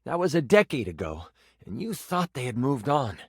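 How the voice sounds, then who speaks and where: A man's voice: mid-range voice, moderate pace, slightly rough, dry recording, clean studio sound. A man playing an eager game master who narrates a fantasy tabletop adventure with dramatic expression in a video game. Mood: dramatic storytelling. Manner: dramatic, expressive, with aside remarks. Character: male tabletop game master, personified D20, eager theatrical storyteller.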